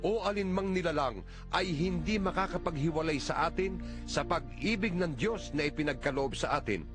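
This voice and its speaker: A man reads scripture as a dramatized audio Bible narrator, in an earnest, emphatic manner with measured phrasing. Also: on the high side, expressive. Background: background music.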